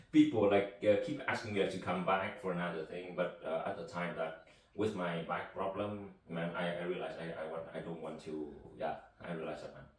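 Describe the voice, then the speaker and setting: Low-voiced chatty male podcast host. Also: steady tone, moderate pace, a little hiss or hum. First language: Vietnamese. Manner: loose, rambling and chatty. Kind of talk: casual conversation. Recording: echoey room, mic from a distance.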